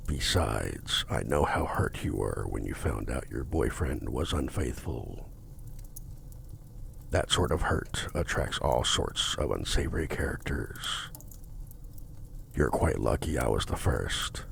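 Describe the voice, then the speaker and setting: An extremely deep, heavily gravelly male demon, acted by a man for an ASMR roleplay, drawing out his words slowly. He is smug, possessive and menacing, with a formal, seductive way of bargaining. Faint noise sits behind the voice. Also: animated, full character voice. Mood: smooth, persuasive. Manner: slow, deliberate, formal, domineering. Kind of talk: intimate ASMR roleplay acting.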